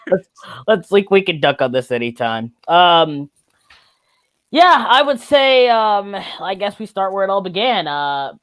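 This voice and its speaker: A man's voice: high voice, sing-song, unhurried, fairly bright tone. A man hosting a casual group chat, with a teasing, jokey way of talking. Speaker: host.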